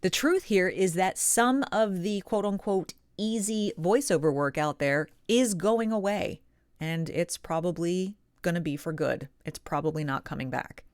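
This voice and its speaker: A woman hosting a vlog as a professional voice actor, speaking straight to the audience in emphatic, deliberate phrases with pointed pauses. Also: on the low side, smooth, no room sound, studio quality. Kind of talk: direct-to-audience scripted vlog monologue.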